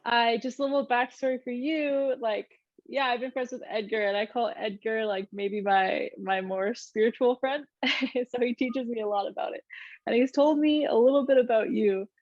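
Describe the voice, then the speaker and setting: A woman's voice: a little high, steady tone, moderate pace, dry recording, lo-fi sound. A young woman hosting a podcast, talking in an upbeat, chatty way with frequent fillers. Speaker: podcast host. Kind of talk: casual podcast interview.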